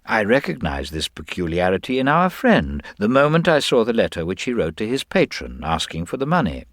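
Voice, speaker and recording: A man voicing a mild, hesitant middle-aged government investigator in an audiobook, speaking gently and precisely. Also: on the low side, wildly swooping pitch, a little fast, no echo, studio quality, voice pushed up. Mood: explanatory, methodical. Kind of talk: dramatised audiobook character dialogue.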